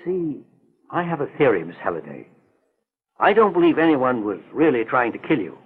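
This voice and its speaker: Kindly older detective, husky, very expressive pitch, old 40s radio drama. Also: male voice. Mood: reassuring. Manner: deliberate, reassuring, courteous. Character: kindly, fatherly, calm older male investigator. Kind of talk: 1940s radio acting. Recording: tinny, narrow 1940s radio recording.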